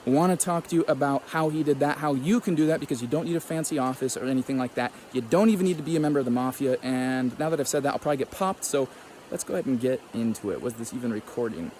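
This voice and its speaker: A man's voice: mid-range voice, darkish tone, a little hiss or hum. Upbeat man hosting in a roomy space. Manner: upbeat, quick, joking. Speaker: host. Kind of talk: casual interview.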